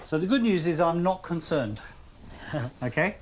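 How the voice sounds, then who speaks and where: A man's voice: a little high, normal speed, slight background noise. Reassuring Australian male GP. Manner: calm, reassuring, checks understanding with "okay?". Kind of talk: doctor's consultation. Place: GP consulting room.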